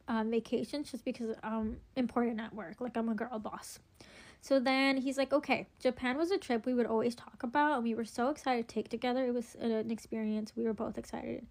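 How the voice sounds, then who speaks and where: Chatty young woman telling a storytime. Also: fairly high pitch. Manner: chatty, rambling, many ums and likes, wry. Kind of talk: casual storytime while doing makeup.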